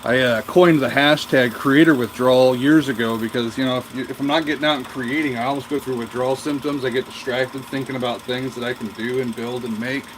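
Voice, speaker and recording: A man's voice: mid-range voice, fairly even intonation, a little fast, hardly any pauses, background noise. A man with a nasal voice, a woodturner chatting casually and rambling while live-streaming from his cold workshop. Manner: rambling and chatty, answers viewer comments. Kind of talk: casual livestream chat.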